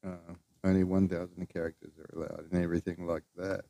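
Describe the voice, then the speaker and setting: A man's voice: sits low, level tone, quick pace, gravelly, warm tone. A man hosting his own podcast alone, rambling loosely between clips, swearing and trailing off. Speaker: podcast host. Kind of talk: casual solo podcast chat.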